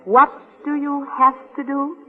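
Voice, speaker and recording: An actress playing a sardonic, insistent and teasing fiancée in a 1940s radio drama. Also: female voice, sits high, level tone, unhurried. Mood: dry, teasing. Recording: muffled tinny 1940s radio recording.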